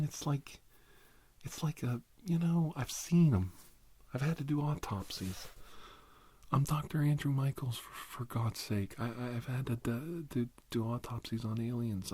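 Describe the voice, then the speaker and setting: A man's voice: on the low side, mellow, husky, dry recording. A man performing a rambling comic ASMR monologue as a doctor, conversational and exasperated, often repeating himself. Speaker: performer playing a doctor in character.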